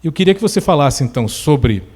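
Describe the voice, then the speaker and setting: Mellow Brazilian man interviewing in an echoing hall. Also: a little high, animated, breathy edge. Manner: halting, circling toward questions. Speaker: interviewer, moderator. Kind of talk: conversational interview on stage. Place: indoor cultural venue, stage talk. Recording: reverberant hall.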